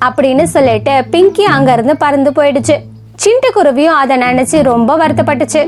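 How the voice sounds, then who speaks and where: High-pitched woman storyteller. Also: animated, quick pace, over music. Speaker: narrator. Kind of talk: scripted children's story narration.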